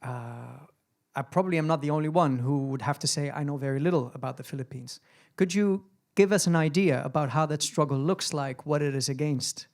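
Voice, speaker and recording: Man in his thirties, fairly high voice, informal panel moderator, handheld mic. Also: a little fast, gravelly, a little mellow. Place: small screening room, indoors. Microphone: handheld microphone.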